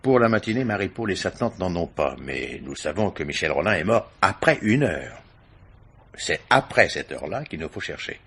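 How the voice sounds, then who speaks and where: A man acting a seasoned police chief in a French radio mystery play, paternal and probing, with hesitant, drawling interjections and a gravelly voice. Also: deep voice, very animated, moderate pace, fairly bright tone, full character voice. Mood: reasoning.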